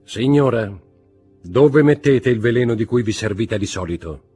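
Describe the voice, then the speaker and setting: A man's voice: on the low side, lively intonation, slightly rough, faint music behind. A man voicing a stern, merciless magistrate and husband in an audiobook drama, commanding and contemptuous as he interrogates. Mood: cold, accusing. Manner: commanding, contemptuous, deliberate interrogation rising to shouts.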